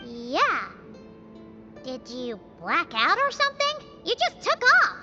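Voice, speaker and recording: A woman voicing a small, excitable childlike companion sprite in a video game, squeaky and given to exclaiming. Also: slow pace, exaggerated voice. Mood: excited, concerned. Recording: muffled game audio under music.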